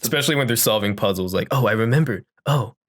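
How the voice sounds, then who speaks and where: A man's voice: fairly low pitch, quick pace. A man co-hosting a podcast, talking casually and conversationally about films. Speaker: podcast co-host. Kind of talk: casual film discussion.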